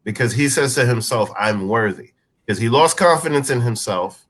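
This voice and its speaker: A man on a film review panel debating casually and insistently, halting and repeating his phrases as he makes his point. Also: mid-range voice, expressive, fairly bright tone.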